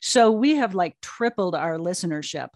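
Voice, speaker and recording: A woman in her sixties speaking conversationally as an interview guest, telling her story in a chatty, animated way. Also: on the low side, moderate pace, no echo, clean studio sound. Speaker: guest, audio drama producer and creative officer.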